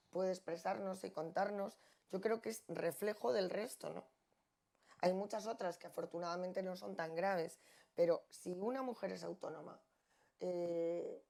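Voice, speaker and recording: A woman's voice: fairly low pitch, vocal fry, dark tone. A middle-aged woman with an accent from Spain, speaking off the cuff on a panel in an emphatic, ironic manner.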